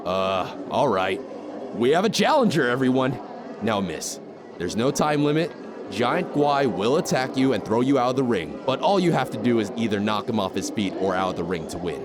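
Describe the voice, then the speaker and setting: A man's voice: medium pitch, sing-song, moderate pace, background noise, lightly acted. A man playing a fight bookie and ring announcer in an audio drama. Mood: hesitant, businesslike.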